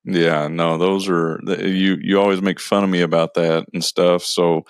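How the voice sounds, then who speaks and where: A middle-aged man with a fairly low voice, recorded dry and close. He chats casually as a co-host, folksy and self-deprecating, often halting as he goes. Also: flat delivery, clear, resonant tone, dry recording. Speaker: co-host of a parenting and family talk show. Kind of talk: casual conversational chat.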